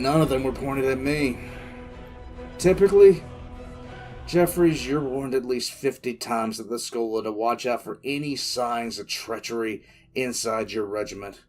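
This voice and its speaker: A man playing a shaken, battle-worn military commissar who tells his war story in halting, dramatic first person. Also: mid-range voice, lightly acted. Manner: halting, repeated phrases, dramatic emphasis. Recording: music under the voice.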